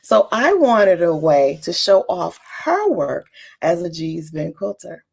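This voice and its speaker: A woman who is an author, presenting a storybook in an expressive, informal read-aloud way. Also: fairly low pitch, slow, creaky, slightly rough. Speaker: children's author reading their own book aloud.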